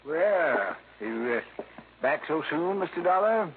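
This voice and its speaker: A man's voice: a little high, very animated, slow pace. A man playing a flustered, guilty small-town mayor who stammers evasively in a 1950s radio drama. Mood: nervous, hesitant. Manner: hesitant, stammering. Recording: tinny, noisy vintage radio recording.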